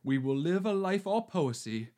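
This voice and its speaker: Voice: mid-range voice, animated, normal speed, dark tone, natural voice. Someone doing a swaggering bandit in grand marquis pose. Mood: grandiose. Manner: bombastic, theatrical verse delivery with wild swings. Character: swaggering male bandit posing as a romantic marquis. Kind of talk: amateur reading of a verse play.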